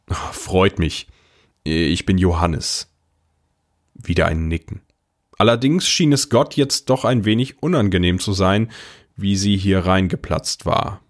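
A man playing a wry, unflappable older brother, narrating his story in a dry, understated way. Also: low-pitched. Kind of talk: scripted first-person narration.

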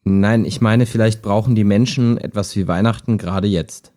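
A mellow, low-pitched male chatbot, acted by a man, wistful and skeptical. He talks like an ordinary human in an intimate radio play, understated and a little hesitant. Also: fairly even intonation, moderate pace, close to an everyday voice. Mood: pensive.

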